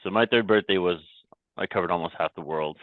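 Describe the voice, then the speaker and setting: Deep voiced guy on a podcast, tinny phone-ish sound, thinks out loud and repeats himself a lot. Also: fast, dry recording. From Canada. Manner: reflective, many restarts and repeated words. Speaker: podcast guest, company founder. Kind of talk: casual interview talk. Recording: dry, tinny phone-like remote line.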